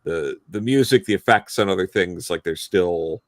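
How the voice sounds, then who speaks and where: A man with a lowish voice talks through his opinions as a review panelist. He circles around his points in a halting, rambling way, and the sound is close and dry. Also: no echo. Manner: halting, rambling, hedging delivery. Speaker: podcast panelist reviewing an audio drama. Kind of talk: casual opinionated review discussion.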